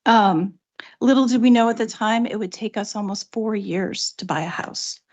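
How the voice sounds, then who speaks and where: A woman's voice: medium pitch, normal speed, a little mellow, lo-fi sound. A young woman with a cold and a fading voice, earnestly reading a prepared public comment at a meeting, with frequent ums. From Cape Cod, Massachusetts. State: has a cold, losing her voice.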